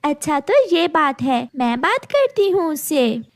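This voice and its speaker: A woman's voice: sits high, very animated, a little slow, dry recording, studio quality, full character voice. A woman voicing an eager, caring little female bird in a children's cartoon story. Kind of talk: cartoon storybook character acting.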